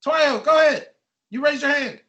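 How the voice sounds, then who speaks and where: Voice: high voice, moderate pace, fairly bright tone, dull audio. An author reading a children's book aloud and quizzing the children, encouraging them, repeating their answers and checking in with "right?" and "okay". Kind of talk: interactive read-aloud with question and answer for children.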